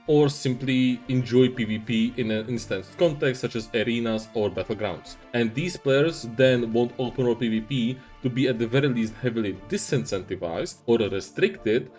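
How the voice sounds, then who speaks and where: Young man, measured and earnest, faint music underneath. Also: mid-range voice, darkish tone. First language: Czech. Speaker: YouTuber. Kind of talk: scripted commentary.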